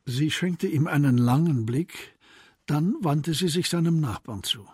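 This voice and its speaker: A man narrating a radio play as scripted literary storytelling, in a measured, descriptive manner. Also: a little high, lively intonation, darkish tone, husky, no echo. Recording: studio narration over music.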